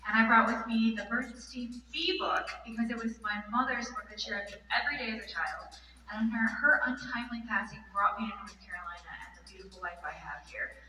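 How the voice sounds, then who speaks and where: A preschool teacher speaking sincerely about herself on a theatre stage, recorded far off in an echoey hall. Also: female voice, fairly high pitch, fairly quick, pushed and bright.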